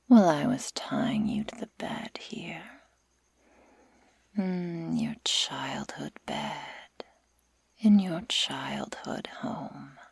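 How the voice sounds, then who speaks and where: A woman voicing a sadistic, taunting werewolf girl in a breathy whisper for an ASMR roleplay, speaking slowly and deliberately with mock-sweet menace. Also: deep voice, very animated, darkish tone, no room sound, clean studio sound, heavily acted. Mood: sadistic, gloating.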